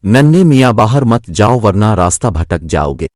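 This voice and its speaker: A cartoon pond frog, put on by a male narrator, hurriedly calling out a warning. The intonation is very animated and the sound is dry. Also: on the low side, moderate pace, dry recording. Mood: loud, warning. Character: frogs of the pond, loud and warning.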